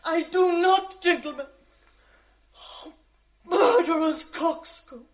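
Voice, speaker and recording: A fierce, outraged lady's maid in a radio play. She speaks high and slow, flinging accusations and cries. The voice rises sharp against the men around her. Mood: accusing. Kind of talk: 1980s radio drama acting.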